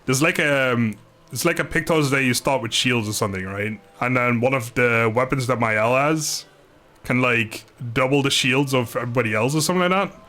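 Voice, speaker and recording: A man's voice: medium pitch, a little fast, bright, forceful tone. A man doing casual live game commentary, chatting informally with viewers, joking and swearing mildly. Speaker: game streamer.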